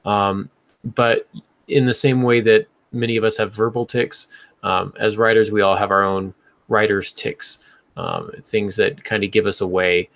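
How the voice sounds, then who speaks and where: Low, creaky-voiced man hosting. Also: fairly even intonation, unhurried, fairly bright tone, no echo. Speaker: podcast host. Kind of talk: casual panel discussion on fiction writing.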